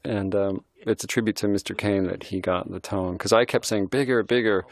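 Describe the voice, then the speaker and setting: A middle-aged man, a film director, giving an admiring, halting film commentary. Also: lowish voice, steady tone. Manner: thoughtful, admiring, halting restarts.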